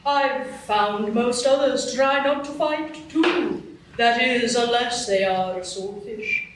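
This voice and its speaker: A woman voicing a huge, gentle and very courteous narwhal in a live radio play, with a sing-song lilt. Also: fairly high pitch, slow pace, background noise. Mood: polite. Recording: roomy echo from far off.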